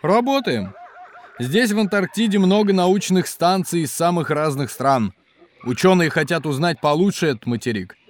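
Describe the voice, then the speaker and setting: An actor playing a hospitable, jolly geologist and cook at a polar station in a children's educational audio drama, with an animated, explanatory way of talking. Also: male voice, fairly high pitch, normal speed, natural voice. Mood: enthusiastic explaining. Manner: animated, chuckling, explanatory, hearty.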